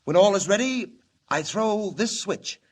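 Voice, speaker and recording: An actor playing an earnest, urgent alien scientist in grave, theatrical 1940s radio style. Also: male voice, high-pitched, expressive, a little slow. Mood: earnest, explaining. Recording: old 1940 radio recording, echoey in places.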